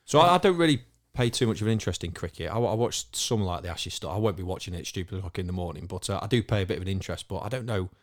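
Man explaining in a low-pitched voice. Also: lively intonation, racing, few pauses. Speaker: sports podcast panellist. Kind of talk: casual explaining chat among friends.

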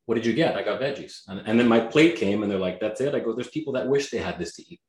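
A man from Canada whose first language is Greek, talking as a guest in an energetic, emphatic and blunt way, swearing freely. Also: mid-range voice, fast, reverberant. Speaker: guest, real estate agent. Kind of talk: motivational interview talk.

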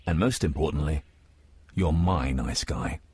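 Man putting on a pompous, low-voiced aristocrat. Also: a little slow, a little mellow, slightly rough, heavily acted. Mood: earnest, fond. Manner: pompous, insistent, repetitive. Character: snobbish, pleading upper-class aristocrat man. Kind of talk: improvised comic radio play.

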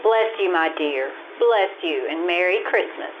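Actress as gentle, pious charity bell-ringer. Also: female voice, on the low side, expressive, measured pace. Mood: gentle, grateful. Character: kindly, pious Salvation Army bell-ringing woman. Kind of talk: old-time radio drama acting. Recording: muffled noisy stage radio recording.

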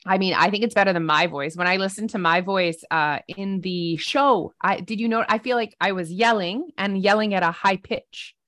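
A woman in her thirties co-hosting a podcast, teasing and excitable, saying "like" a lot. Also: mid-range voice, normal speed, few pauses. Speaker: podcast co-host. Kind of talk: casual podcast chat.

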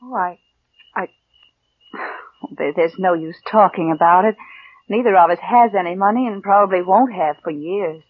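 An actress playing a plain, meek young niece with restrained, wistful delivery in mid-century radio drama. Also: female voice, medium pitch, moderate pace, long pauses, dry recording, narrow, tinny audio, natural voice. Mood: hesitant. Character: plain, meek young woman, lovelorn, quietly resentful niece. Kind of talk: 1940s-50s radio drama acting and first-person narration. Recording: band-limited old radio broadcast.

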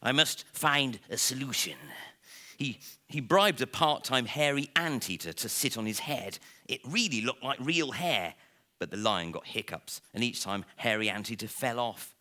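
A man reading a comic children's story aloud to listeners in a theatrical, playful way, with dramatic pauses. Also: mid-range voice, animated, pushed and bright. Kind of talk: expressive live storybook reading.

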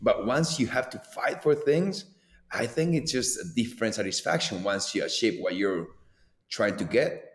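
A man's voice: mid-range voice, moderate pace. A man in his thirties from Colombia, speaking English with a Colombian accent as a second language, chatting animatedly as a former pro racer in an interview. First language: Spanish. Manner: animated, laughs often, loose filler-heavy delivery. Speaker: former pro downhill mountain bike racer, guest. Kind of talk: casual conversational interview. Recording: roomy echo.

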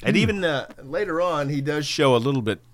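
A man's voice: on the high side, lively intonation, a little fast, no echo. A man co-hosting a casual movie podcast, with wry, sweary comic remarks. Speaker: podcast co-host. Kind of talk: casual comedic movie chat.

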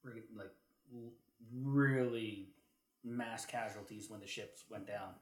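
A man in casual podcast discussion, explaining history as a co-host and piecing his thoughts together haltingly. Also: on the low side. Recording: roomy, echoey sound.

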